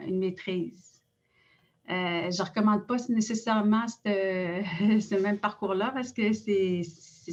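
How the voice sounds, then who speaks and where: A middle-aged Innu woman with a Quebec French accent, reading a prepared personal account in a measured way with frequent hesitations. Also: medium pitch, muffled recording. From Innu community in Quebec. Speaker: director of an Innu cultural institute.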